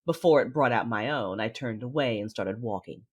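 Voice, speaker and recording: A man playing a guarded, commanding Sith lord in a sci-fi audio drama, narrating inwardly in a husky voice. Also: medium pitch, moderate pace, dull audio, lightly acted. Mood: brooding, controlled. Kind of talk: first-person audio drama narration and dialogue.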